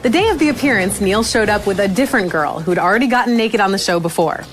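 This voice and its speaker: A woman's voice: mid-range voice, animated, fast, slight background noise. A woman co-hosting a show, reading scripted introductions to segments. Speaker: show co-host. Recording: music playing under the voice.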